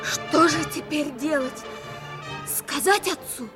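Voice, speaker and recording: A woman's voice: sits high, takes it slowly. A woman playing an anxious, earnest young French boy in a 1950s radio play. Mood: distressed. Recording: vintage radio drama with music underneath.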